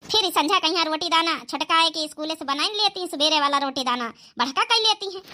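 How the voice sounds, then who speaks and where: A woman's voice: extremely high pitch, brisk, very noisy. A woman voicing an elderly, grumbling but fond village mother-in-law with an Awadhi accent in a comedy cartoon. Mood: approving. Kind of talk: rural family comedy cartoon dialogue.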